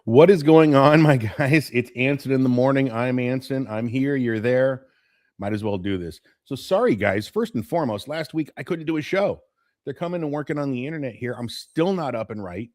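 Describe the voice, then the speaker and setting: A man's voice: mid-range voice, animated, moderate pace, darkish tone, no room sound, clean studio sound. A man in his late forties hosting a morning live stream, chatting to viewers in a loose, rambling way about how things have changed. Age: 40s. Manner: chatty, nostalgic, wanders off topic. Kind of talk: casual rambling chat to viewers.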